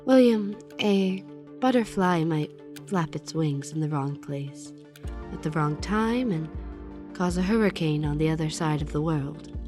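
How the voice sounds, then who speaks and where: A woman playing a wealthy, menacing manipulator in an audio drama, speaking in a measured, erudite, controlled manner. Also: sits low, lively intonation, a little mellow, soft background music. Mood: coolly philosophical. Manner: measured, philosophical, veiled threats.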